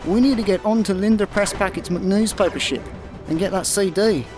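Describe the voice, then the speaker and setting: A fretful Scottish slacker played by a man in a sci-fi radio comedy, with clear music behind him. His voice sits high, and he comes across as hapless and literal-minded. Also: moderate pace, natural voice. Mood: dry worry. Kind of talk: comic sci-fi radio play acting.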